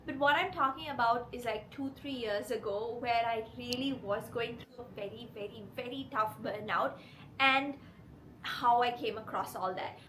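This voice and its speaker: A woman with a cold that leaves her voice hoarse and rough, hosting her channel and talking earnestly and directly to her viewers. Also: fairly high pitch, moderate pace, background noise. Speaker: channel host. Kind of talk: confessional vlog talk to camera.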